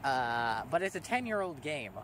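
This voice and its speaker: A teenage boy vlogging to his viewers, rambling with frequent ums and pauses. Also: medium pitch, sing-song, a bit bright, slight background noise. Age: teens. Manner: rambling, hesitant, many ums. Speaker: small YouTuber thanking subscribers. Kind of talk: casual vlog to camera.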